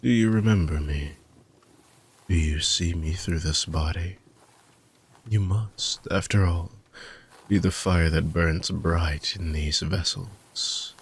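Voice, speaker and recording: A man playing an ancient, weary dark mage villain with a gravelly, husky voice, speaking in soft, deliberate, formal phrases as an intimate fantasy audio roleplay monologue. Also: low voice, full character voice. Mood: tender, wistful. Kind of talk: slow, intimate dramatic monologue for sleep-aid audio roleplay.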